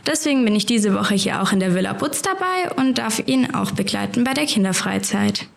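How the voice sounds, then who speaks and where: A woman's voice: medium pitch, expressive, brisk, gravelly. A nineteen-year-old woman introducing herself as a holiday program caregiver and explaining at length. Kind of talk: interview self-introduction.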